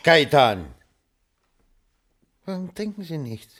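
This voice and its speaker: An actor playing a tipsy gentleman who goads his company with pompous mock politeness in a radio drama. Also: on the high side, big pitch swings, slow pace, heavily acted. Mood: needling. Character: goading, tipsy gentleman drinker, pompous and provocative. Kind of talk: German radio drama acting.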